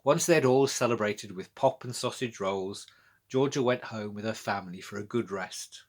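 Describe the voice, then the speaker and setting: Husky British man reading a children's story. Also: fairly low pitch, moderate pace. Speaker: author-narrator. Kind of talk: scripted children's storybook narration.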